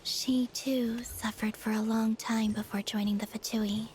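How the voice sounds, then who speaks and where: Woman voicing a soft, high-voiced serene moon goddess. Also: flat delivery, a little hiss or hum, close to an everyday voice. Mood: wistful. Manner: soft, measured, formal, gentle speech. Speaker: video game character. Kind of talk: scripted game voice acting.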